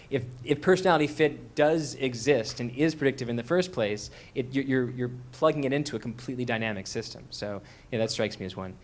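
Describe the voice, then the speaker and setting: A man moderating a live panel discussion, asking questions in a halting way with many fillers and restarts. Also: medium pitch.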